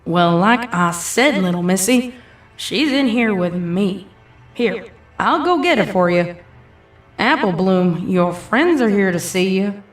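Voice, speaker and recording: A woman's voice: medium pitch, expressive, takes it slowly, faint music behind. A woman voicing a folksy country farm mare with a drawl, coaxing and inviting. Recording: echoey, from a distance.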